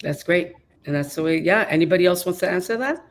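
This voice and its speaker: A woman's voice: lowish voice, quick pace. Effusive woman moderating a video panel. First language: Spanish. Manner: warm, effusive, encouraging. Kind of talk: casual panel discussion. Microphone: video call.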